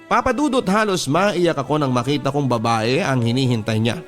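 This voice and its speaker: A man's voice: medium pitch, expressive, moderate pace. Filipino man narrating sentimentally over music. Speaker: radio drama host reading a listener's letter. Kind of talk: dramatic first-person letter narration. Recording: music bed behind the voice. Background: background music.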